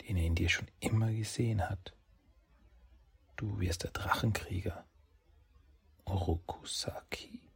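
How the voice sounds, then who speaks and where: A serene female fox spirit murmurs in hushed, breathy tones, as a man reads her in a storybook. Her voice sits low and gravelly, very husky, close to a whisper. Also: unhurried, full character voice. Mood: soothing, hushed. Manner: soft, hushed, often whispering.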